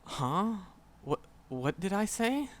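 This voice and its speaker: A man's voice: high-pitched, sing-song, exaggerated voice. A man playing a shy, flustered, lovestruck magic professor in a roleplay audio drama, stammering and hesitant. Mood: flustered, startled. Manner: nervous, heavy stammering, hesitant, halting. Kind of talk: roleplay audio drama, speaking softly to the listener.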